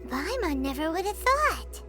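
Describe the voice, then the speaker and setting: Tiny cheeky fairy girl from a video game, super high squeaky voice, bouncy intonation, unhurried. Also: big pitch swings, music in the background, heavily acted. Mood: curious, surprised. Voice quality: squeaky, childlike. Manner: refers to self in third person, naive, exclaiming.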